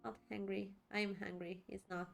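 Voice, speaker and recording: A woman's voice: medium pitch, flat delivery, moderate pace, vocal fry, soft background music. A woman giving casual let's play commentary as a streamer, informal, giggly and reactive in her manner. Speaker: streamer playing a game.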